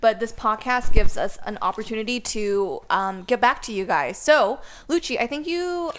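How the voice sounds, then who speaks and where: A woman's voice: a little high, expressive, moderate pace. A young woman hosting a casual podcast with her string quartet, upbeat and chatty, leaning on filler words as she talks. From Canada. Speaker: podcast host, first violinist.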